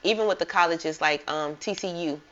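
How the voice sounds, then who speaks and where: A woman's voice: fairly low pitch, fairly quick, a bit bright. A woman hosting a casual interview, upbeat and encouraging, often saying "like". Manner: upbeat, encouraging, says like a lot. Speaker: interview host. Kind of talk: casual chat. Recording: muffled lo-fi audio.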